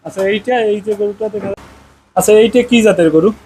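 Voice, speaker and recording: A high-pitched man with a Bangladeshi accent presents a farming show on location. He speaks as an interviewer who echoes answers back. There is light noise and outdoor-style ambience around his voice. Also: brisk, darkish tone. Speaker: host of a farming programme. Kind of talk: on-location interview with a scripted-sounding welcome. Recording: noisy on-location farm recording.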